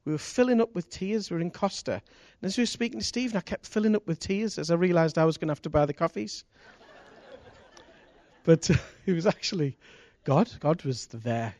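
A church leader speaks with enthusiasm, his voice a little high for a man. He shares a short testimony before welcoming guests. The sound is dry and close. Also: expressive, a little fast, a little mellow, no echo. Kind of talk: church announcement.

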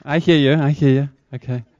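A South African man in his thirties speaks to a church congregation with a chatty, engaging lilt. His voice sits a little high for a man. The sound is dry and a touch dull. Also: steady tone, measured pace, darkish tone, dry recording. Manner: chatty, engaging, asks the crowd questions. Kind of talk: upbeat church talk to congregation.

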